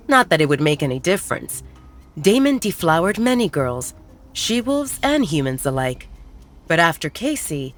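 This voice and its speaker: Woman reading a werewolf romance audiobook, low voice, soft music under it. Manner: steady storytelling. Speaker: narrator. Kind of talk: audiobook narration.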